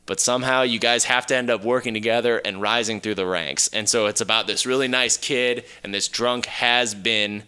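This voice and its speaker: A man's voice: medium pitch, moderate pace, hardly any pauses, clear, resonant tone. A man co-hosting a podcast, playful and a bit crude, telling stories and bantering casually with friends. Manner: playful, animated, mildly crude. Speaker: podcast co-host. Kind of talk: casual joking banter and storytelling.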